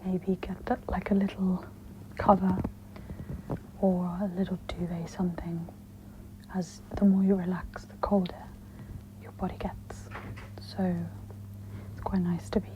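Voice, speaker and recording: A woman speaks in a whispery, breathy voice, gently guiding a meditation in a soft-spoken ASMR style. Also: lowish voice, flat delivery. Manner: slow, gentle, instructional. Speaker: host of guided meditation ASMR. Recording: dull lo-fi with audible background noise.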